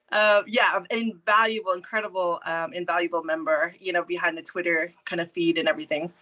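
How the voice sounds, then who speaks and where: A woman's voice: fairly low pitch, normal speed, long pauses, pushed and bright. A woman hosting a panel discussion, upbeat and encouraging toward the guests. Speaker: panel host.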